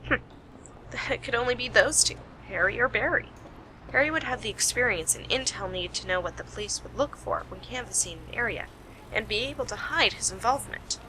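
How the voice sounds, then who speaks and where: A woman's voice: fairly high pitch, animated, brisk, bright, forceful tone, a little hiss or hum, poor audio. A woman playing a keen, analytical amateur detective in an amateur radio play. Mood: thoughtful.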